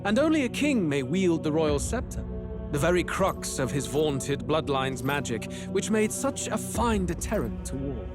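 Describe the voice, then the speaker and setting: A cold-blooded, haughty game villain. His delivery is theatrical and sardonic, swinging widely in pitch over a clear musical score. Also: very animated, normal speed, over music. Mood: coolly sardonic. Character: cold regicidal villain, menacing and haughty man. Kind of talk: dramatic English-language game voice acting.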